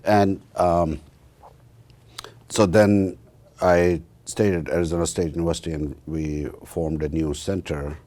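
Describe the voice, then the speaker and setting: A man in his fifties with an Indian accent, giving reflective interview answers in a halting way with many pauses. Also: sits low, steady tone, dark tone. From northern India. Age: 50s. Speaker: interview guest, university research executive and computer scientist.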